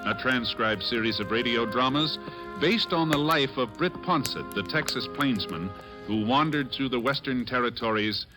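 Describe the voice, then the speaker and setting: Vintage radio western opener: American male announcer, mid-range, portentous and deliberate over loud music. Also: medium pitch, lively intonation. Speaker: radio announcer introducing a drama. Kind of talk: dramatic scripted 1950s radio western introduction.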